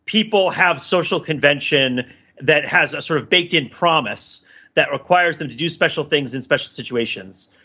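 A man co-hosting a podcast in casual, analytical discussion, thinking aloud haltingly and often checking in with "right?". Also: on the high side, sharp tone, slightly rough, highs cut off. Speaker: podcast co-host.

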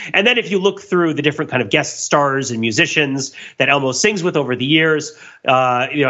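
A man's voice: mid-range voice, sharp tone, dull audio. A man in a casual, analytical podcast discussion, rambling as he thinks aloud in wordy, intellectual phrases and often repeating himself. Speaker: podcast host.

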